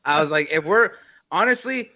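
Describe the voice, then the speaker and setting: A man discussing video games casually as a panelist, eager and quick to argue his point. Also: a little high, expressive, bright, forceful tone. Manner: eager, argumentative, interrupting. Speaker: podcast panelist. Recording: slightly dull lo-fi audio.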